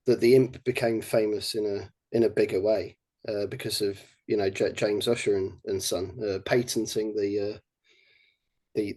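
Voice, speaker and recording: A man's voice: lowish voice, a little mellow. Rambling English man, many restarts. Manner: halting, many restarts and fillers. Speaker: project lead, guest. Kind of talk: casual podcast chat.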